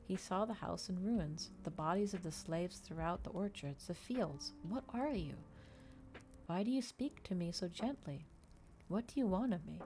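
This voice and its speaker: A woman's voice: on the low side, expressive, normal speed, dark tone, slight background noise. A woman voicing a frightened plantation woman who speaks in an urgent, accusing way in an audiobook.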